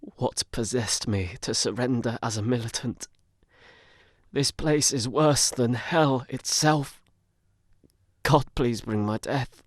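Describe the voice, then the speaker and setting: A man's voice: medium pitch, takes it slowly, dry recording, clean studio sound. A man playing a desperate, protective Sikh uncle in an audio drama, urgent and pleading. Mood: anguished, pleading.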